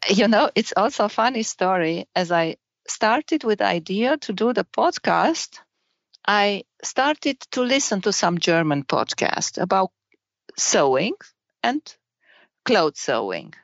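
Female podcast guest, Romanian accent, halting conversational delivery, dry but somewhat lo-fi sound. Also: medium pitch, sing-song, vocal fry, dry recording. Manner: halting, searching for words in non-native English. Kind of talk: casual interview chat.

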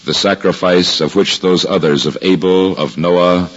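An actor playing a grave, devout old man narrating sacred memories in solemn, measured 1950s radio drama style. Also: low voice, fairly even intonation. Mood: grave, reverent. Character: devout elderly male narrator recalling sacred events, grave. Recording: dull 1950s radio recording, music behind.

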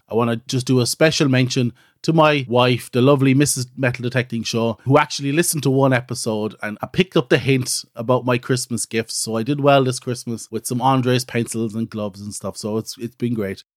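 A man's voice: medium pitch, fairly even intonation, fairly quick, few pauses, no echo, clean studio sound. A middle-aged Irish man hosting his own podcast in a casual, rambling, self-deprecating chat full of "so" and "you know". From Ireland. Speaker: podcast host.

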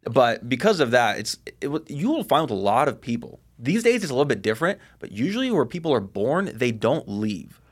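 A man in his thirties from Washington State, on a podcast as a guest fitness coach. He talks fast in a loose, conversational way, with plenty of fillers. His pitch is at an ordinary middle level. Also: big pitch swings, husky. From Washington State, USA. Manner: rapid, conversational, frequent "you know" and "like". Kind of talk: casual interview chat.

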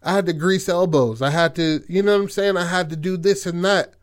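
A man voicing a bitter, hard-bitten man in a story, ranting in forceful, profane street talk. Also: high voice, very fast, voice pushed up, natural voice. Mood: angry, resentful. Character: bitter, hard-bitten man who stayed behind to struggle.

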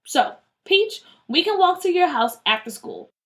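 A woman's voice: very high voice, very animated, a little slow, a bit bright, pitched above the performer's own voice, full character voice. A woman voicing an eager, dramatic, headstrong young schoolgirl in a children's story. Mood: excited, stubborn. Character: headstrong excitable schoolgirl wanting straight hair.

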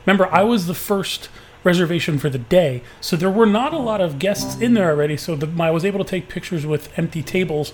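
A man with a booming, somewhat high voice hosts a podcast, recapping his day in a relaxed, chatty way. Faint music plays underneath. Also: brisk. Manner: chatty, easygoing storytelling. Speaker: podcast host.

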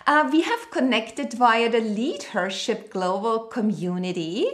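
A woman's voice: a little high, expressive, unhurried, fairly bright tone. A woman hosting a podcast, reading a guest's bio and asking interview questions in an earnest way. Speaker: podcast host. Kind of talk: scripted intro, then interview questions.

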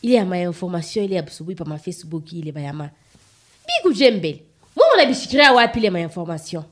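An actress playing a sceptical, questioning community radio director in a radio play, with a Congolese accent. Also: female voice, on the low side, sing-song, very fast. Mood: defensive. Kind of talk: radio play dialogue in colloquial register.

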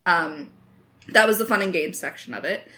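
A woman in her twenties chatting casually as a writer in a creator Q&A, candid and enthusiastic, quick to laugh. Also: lowish voice, sharp tone. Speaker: audio drama writer in a creator Q&A. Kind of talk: casual chat.

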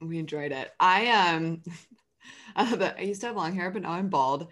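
A woman's voice: fairly low pitch, moderate pace, fairly bright tone. A woman co-hosting a podcast who asks questions, giggles and swears casually. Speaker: podcast co-host. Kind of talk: casual interview chat.

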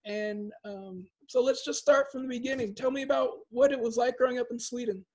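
A man's voice: extremely high pitch, steady tone, fairly quick, low-quality recording. A man hosting a soccer podcast, introducing his guest and asking questions in a friendly, self-deprecating way. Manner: upbeat, self-deprecating about mispronouncing. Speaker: podcast host.

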